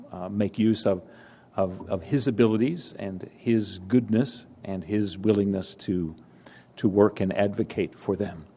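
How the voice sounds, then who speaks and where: Mellow, low-voiced man giving a reflective introduction. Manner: measured, reflective phrasing with pauses. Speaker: event speaker introducing a guest. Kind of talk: formal introduction speech.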